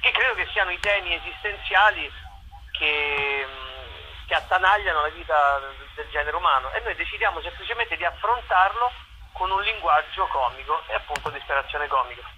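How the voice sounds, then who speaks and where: Very sharp, bright man, faint noise. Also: a little high, expressive, normal speed, low-quality recording. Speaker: actor and playwright being interviewed. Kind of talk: conversational interview, explaining own show.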